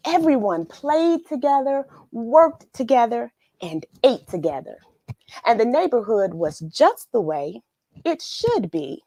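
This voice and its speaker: A woman's voice: on the high side, big pitch swings, takes it slowly, mellow, no room sound. A woman hosting a children's read-along in a casual, effusive way, with sing-song phrasing and repeated words. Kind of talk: casual livestream greetings and picture-book read-aloud.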